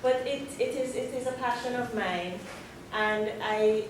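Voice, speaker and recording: A woman's voice: fairly high pitch, measured pace. A woman not born in the Bahamas, a craft artisan, speaking thoughtfully with restarts from the audience at a talk. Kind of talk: audience comment at a Q&A. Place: gallery event space with an audience. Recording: echoey room, far from the mic, audible background noise.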